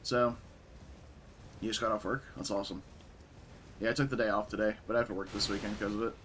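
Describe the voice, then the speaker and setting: A young man with a raspy voice from a concert the night before, casually commentating a game stream and chatting with viewers. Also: on the low side, quick pace, a little hiss or hum. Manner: relaxed, chatty, answers chat.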